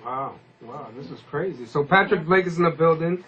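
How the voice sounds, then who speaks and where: A man's voice: a little high, normal speed, a bit bright, highs cut off, slight background noise. A man hosting a casual hip-hop radio interview, rambling informally and using slang. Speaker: radio host.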